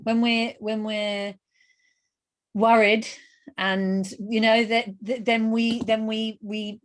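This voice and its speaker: A woman's voice: on the high side, flat delivery, slow, a little hiss or hum. A woman hosting a casual interview, encouraging her guest and repeating words for emphasis. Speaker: webinar host, interviewer.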